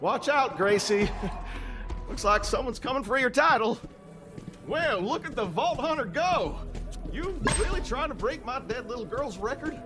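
High, swooping folksy dad. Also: male voice, expressive, a little slow, over music. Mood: boisterous. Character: folksy, manically cheerful bereaved father hosting party. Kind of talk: video game character acting.

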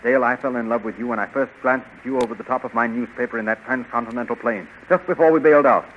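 A man's voice: mid-range voice, fairly even intonation, quick pace, close to an everyday voice. A man acting an earnest, ardent young space hero in a 1930s radio serial, pleading and emphatic. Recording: muffled, hissy 1930s radio recording.

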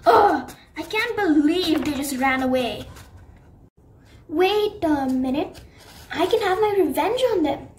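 A woman's voice: high voice, animated, takes it slowly, a little mellow, a little hiss or hum. A woman voicing a spiteful male villain crane in a children's paper-craft story, gloating and scheming.

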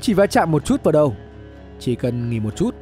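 A man playing an excitable, pompous explorer. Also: a little high, fairly quick, faint music behind. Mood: dazed. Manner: dismissive, excitable. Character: eager, pompous male explorer greedy for treasure.

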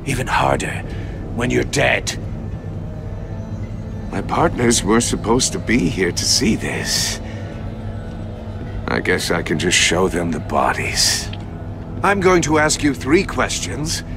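Smug older riddle villain for a game, slow and gravelly, taunting, music behind. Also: male voice, medium pitch, big pitch swings, heavily acted. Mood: gloating menace. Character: gloating sadistic older male riddle-obsessed supervillain. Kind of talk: video game villain acting.

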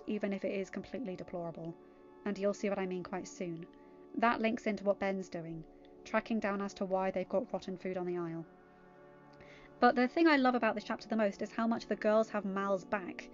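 A woman's voice: medium pitch, steady tone, brisk, dull audio, soft background music. A woman with an English accent reading fan fiction and commenting on it casually. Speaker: amateur fan fiction reader. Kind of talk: storybook narration and casual commentary.

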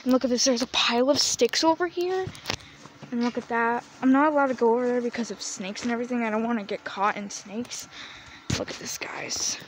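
A woman's voice: a little high, brisk. A young woman vlogging while walking around a farm yard, chatty and often dropping to a whisper. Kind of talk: casual walk-around vlog. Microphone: handheld phone camera. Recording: noisy outdoor phone audio.

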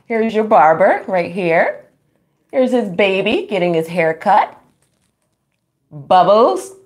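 A woman's voice: medium pitch, sing-song, takes it slowly. A middle-aged woman hosting a storytime, reading aloud to young children with exclamations, sing-song emphasis and questions to her listeners. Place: small room at home, indoors.

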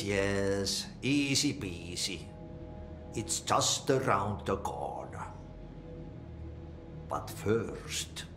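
An older Finnish janitor speaks with a thick Finnish accent and a very lively, swooping melody. He is unhurried, cryptic and folksy, like an odd game character. The room rings around him. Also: on the low side, roomy sound, exaggerated voice. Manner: folksy idioms, muttered Finnish curses. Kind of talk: video game cutscene acting.

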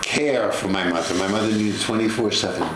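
Older male storyteller, low-pitched, halting delivery, echoey noisy studio sound. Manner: halting, repeats words. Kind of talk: personal storytelling in conversation. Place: indoor TV studio. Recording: roomy echo, clear background noise.

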